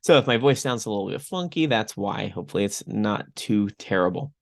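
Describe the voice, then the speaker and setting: A man's voice: fairly low pitch, expressive, brisk, gravelly. A young man hosting a podcast, talking casually and rambling a bit, his voice a little off from a recent throat infection. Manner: chatty, rambling with fillers. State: recovering from strep throat. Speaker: podcast host.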